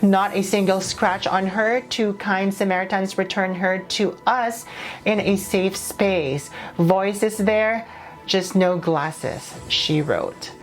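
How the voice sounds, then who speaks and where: A woman's voice: mid-range voice, slow pace. A woman reading scripted showbiz news as a reporter. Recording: music bed under the voice.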